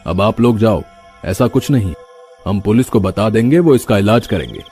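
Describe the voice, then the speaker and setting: A man's voice: fairly low pitch, expressive, normal speed, darkish tone, soft background music, natural voice. A man voicing a dismissive male village headman who lectures and brushes off fears, in a cartoon.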